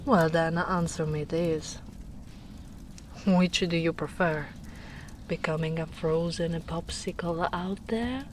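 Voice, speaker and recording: A woman playing a big, gentle female ogre in a soft-spoken, soothing, teasing ASMR roleplay. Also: fairly low pitch, steady tone, takes it slowly. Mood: tender, teasing. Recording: noisy storm ambience behind voice.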